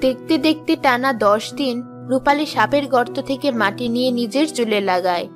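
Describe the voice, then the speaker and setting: A woman's voice: fairly high pitch, moderate pace, music in the background. A woman reading scripted story narration as the storyteller of a folk tale.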